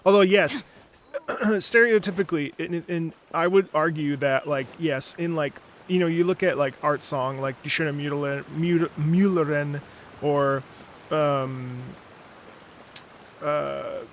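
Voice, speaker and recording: Slow, halting male game streamer. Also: a little high, steady tone, slight background noise. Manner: halting, rambling. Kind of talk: casual live playthrough commentary.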